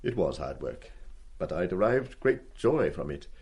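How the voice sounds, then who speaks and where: A reserved, ageing schoolmaster in a radio drama. His voice is low and husky, moving expressively through precise, pedantic sentences with a dry, wounded formality. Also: very animated, a little slow, a little mellow, heavily acted. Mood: wistful, measured. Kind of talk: radio play acting.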